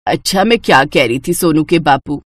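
Older village mother played by a woman: homely, chatty, emphatic, affectionately scolding, animated cartoon delivery. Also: sits low, moderate pace, no room sound. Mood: coaxing.

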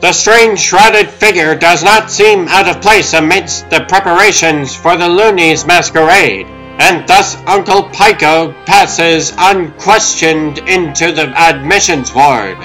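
A man's voice: on the high side, slow pace, few pauses, pushed and bright, soft background music, rough recording. A man reading dramatic scripted narration as the narrator of a comic dub.

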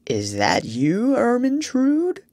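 A woman's voice: fairly high pitch, wildly swooping pitch, slow, a little mellow, dry recording, voice pushed up, full character voice. A woman voicing an imperious, gullible king in a children's storybook, blustering and demanding. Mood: sleepy. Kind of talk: children's storybook character reading.

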